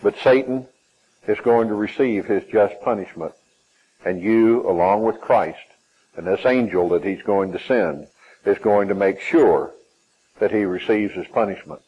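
A man with a Southern US accent preaching a sermon, emphatic, with his pitch climbing and falling and some phrases drawn out. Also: unhurried, breathy edge, muffled recording.

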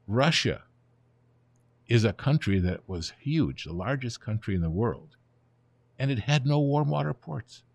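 A man in his seventies whose first language is Ukrainian, speaking as an interview guest and explaining history in an unhurried, storytelling way. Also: medium pitch, very animated, gravelly, darkish tone. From born in Germany, raised in the United States. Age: 70s.

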